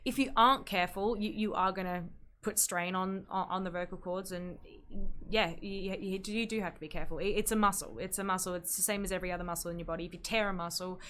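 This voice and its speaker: A woman's voice: on the low side, fairly even intonation, fast talker, fairly bright tone, a little hiss or hum. A young Australian woman talks casually as a podcast guest, a singer explaining her craft. She speaks in a chatty way, often restarting her sentences, and now and then breaks into scales to show a point. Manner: chatty, sings scales to demonstrate, frequent restarts.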